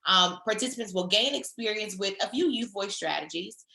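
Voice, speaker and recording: A woman trainer leading a virtual session on a video call, her voice a little hoarse from a sore throat, speaking in an encouraging, conversational way. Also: mid-range voice, animated, brisk, pushed and bright, roomy sound, rough recording.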